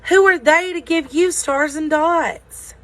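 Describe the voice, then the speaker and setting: Woman reading as a kindly, slow-spoken bearded craftsman. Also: sits high, voice pushed up. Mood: reassuring. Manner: thoughtful, slow, gentle, reassuring. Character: large bearded old craftsman, kindly fatherly maker.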